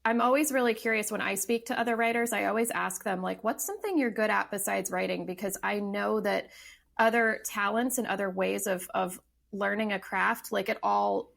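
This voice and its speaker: A woman's voice: mid-range voice, moderate pace, vocal fry, fairly bright tone. A woman in her thirties, a novelist talking casually as a podcast guest, thoughtful, with many fillers and restarts. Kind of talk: casual interview talk.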